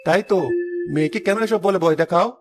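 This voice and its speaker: A man's voice: high-pitched, fast talker, faint music behind, close to an everyday voice. A man voicing an indulgent, protective village father in cartoon fairy-tale dialogue. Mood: reassuring. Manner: coaxing, protective.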